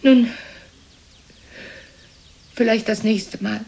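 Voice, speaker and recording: An older woman playing an aging, resigned stage performer and mother in a German radio drama, speaking haltingly in short phrases. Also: fairly high pitch, steady tone, creaky, dark tone, heavily acted. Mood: resigned, wistful. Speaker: actress in a radio play. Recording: radio play with faint background noise.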